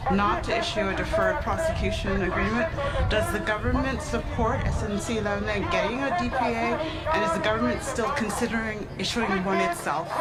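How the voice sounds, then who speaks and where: A woman's voice: medium pitch, lively intonation, fairly quick, over loud music, a loud crowd. A woman reporter asking a direct, formal press question. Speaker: television news reporter.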